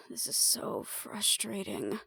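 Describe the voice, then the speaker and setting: A woman's voice: mid-range voice, sing-song, takes it slowly, vocal fry, sharp tone, slightly rough, no echo, full character voice. A woman playing an uptight, weary researcher in a fantasy audio drama, with a dry, exasperated way of talking. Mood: frustrated. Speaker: voice actor in an audio roleplay. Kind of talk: fantasy audio drama roleplay, conversational acting.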